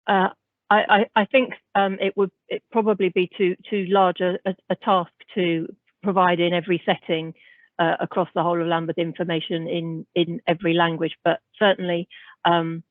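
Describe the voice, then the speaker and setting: A woman answers a question over a thin, phone-like call line, with stammering restarts and a flat, even delivery. The audio is dry and narrow. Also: fairly low pitch, moderate pace, no echo. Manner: stammering restarts, then helpful. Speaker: health service staff member. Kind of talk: answering a question in an online meeting. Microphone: video call.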